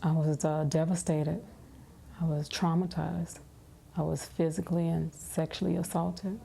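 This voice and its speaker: A woman giving halting, emotional interview testimony as a corrections officer. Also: low voice, little pitch movement, creaky, mellow. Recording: faint background noise.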